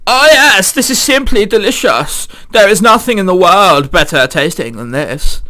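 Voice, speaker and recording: A man voicing a greedy, gloating evil villain for a visual novel in a put-on German-Dutch accent, taunting in a drawn-out, theatrical way. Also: high voice, lively intonation, sharp tone. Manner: taunting, drawn-out, ominous laughing.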